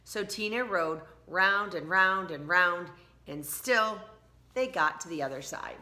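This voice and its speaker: Female primary teacher for storybook read-aloud: bright, measured pace, expressive, engages young listeners with questions. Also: on the low side. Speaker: elementary teacher reading aloud.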